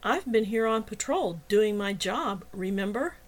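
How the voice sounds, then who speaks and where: A woman's voice: medium pitch, expressive, measured pace, close to an everyday voice. A woman voicing a scornful, belligerent male patrol officer in an audiobook, sneering, sarcastic and accusing. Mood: defensive. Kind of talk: dramatized audiobook dialogue.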